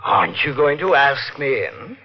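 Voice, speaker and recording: Elliot, sly menacing husband. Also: male voice, medium pitch, sing-song, normal speed, slightly rough. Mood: mocking. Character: menacing husband back from the dead. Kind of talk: 1950s radio drama acting. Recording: dull old 1950s radio broadcast.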